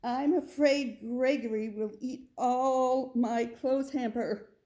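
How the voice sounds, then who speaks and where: A woman's voice: high voice, fairly even intonation, slow pace, dark tone, pitched above the performer's own voice. A woman voicing a concerned but firm mother goat in a children's storybook read-aloud. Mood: worried.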